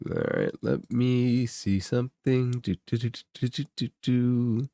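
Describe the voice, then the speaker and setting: A man narrating a tabletop fantasy game as game master, describing scenes in improvised, halting phrases and thinking aloud. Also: mid-range voice, big pitch swings, vocal fry, dark tone.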